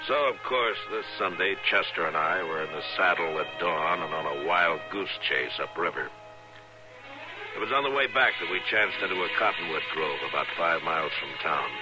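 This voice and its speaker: An actor playing a stern, weary American frontier marshal, terse and dry, in an old-time radio western. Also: male voice, deep voice, lively intonation, moderate pace, over music, rough recording. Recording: vintage radio recording.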